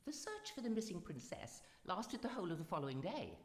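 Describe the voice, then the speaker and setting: A woman's voice: lowish voice, sing-song. Woman playing a theatrical storytelling auntie, echoey hall. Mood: hushed, ominous. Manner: dramatic, relishing, drawn-out suspense. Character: theatrical auntie storyteller of ghostly fairy tales, Auntie Mildred. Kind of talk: live radio drama storytelling. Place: live performance in a reverberant hall. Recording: echoey hall with long reverb, music behind.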